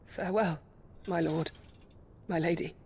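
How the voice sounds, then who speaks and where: An actress playing a devoted, dutiful retainer in a fantasy game, speaking formally and deferentially, with some hesitation. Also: female voice, on the low side, fairly even intonation, measured pace, creaky, a little mellow, a little hiss or hum. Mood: subdued.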